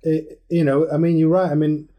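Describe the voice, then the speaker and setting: A mellow-voiced man interviewing, hesitant and chatty. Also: a little high, little pitch movement, moderate pace. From Wales. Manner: hesitant, friendly, stacks fillers. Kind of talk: casual interview chat.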